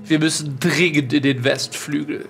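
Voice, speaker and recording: A man playing a grave, pompous, insistent gentleman in a tabletop game, speaking very formally. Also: on the high side, steady tone, normal speed, creaky. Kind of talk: improvised tabletop role-play acting.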